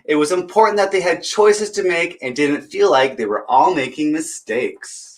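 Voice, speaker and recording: Higher-pitched man reading a children's story. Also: moderate pace. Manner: encouraging, talks directly to young listeners. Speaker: children's story time reader. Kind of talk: read-aloud picture book storytelling with chatty asides.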